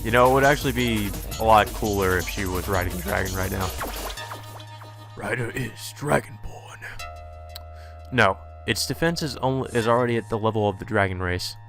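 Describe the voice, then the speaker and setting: A man with a fairly low voice reads visual novel narration aloud for a let's play. Game music plays clearly behind him. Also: normal speed.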